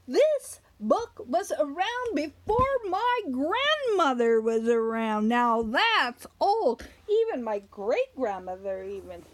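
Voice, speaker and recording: A woman with a high voice that swings widely up and down as she reads to little children. She takes her time, stretching words and stopping to put simple questions to her young listeners. Her delivery is animated storytelling, with small explanations along the way. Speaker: children's story reader and host.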